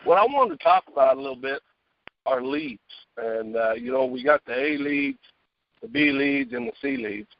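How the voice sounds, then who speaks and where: A man giving an emphatic, conversational motivational sales talk over a phone earpiece, often checking in with a quick question. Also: a little high, big pitch swings, vocal fry, no echo. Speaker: guest speaker, sales director. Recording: dull lo-fi phone earpiece call.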